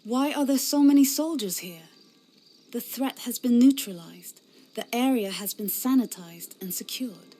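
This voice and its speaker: A woman's voice: a little high, a little slow, dark tone. A woman playing an earnest, idealistic young inquisitor knight in a fantasy game cutscene, impassioned and defiant. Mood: dutiful.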